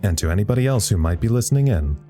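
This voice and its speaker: A man playing a wry, tired research scientist reporting live in an audio drama, halting and understated. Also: fairly low pitch, animated, warm tone, faint music behind, lightly acted. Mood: reflective, weary. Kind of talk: audio drama acting as a live radio log.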